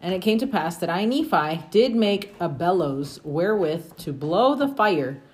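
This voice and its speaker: A woman's voice: fairly low pitch, lively intonation, a little slow, a little mellow. A woman reading scripture aloud to her family at home, informally, with casual asides. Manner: informal, breaking off to chat with children. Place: at home among family with children. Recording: noisy home recording with clicks.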